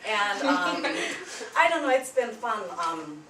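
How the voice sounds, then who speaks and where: A woman from Japan, a stage actor, introduces herself shyly with many giggles and pauses. She is heard from far off in an echoey room. A crowd murmurs clearly behind her. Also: mid-range voice, very animated. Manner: giggly, shy, hesitant.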